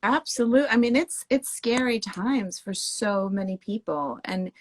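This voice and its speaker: Host, woman in her forties, grew up in Sweden, quick and chatty, mid-range voice. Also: medium pitch. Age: 40s. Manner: quick, chatty, reacts with laughs. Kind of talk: casual video-call chat.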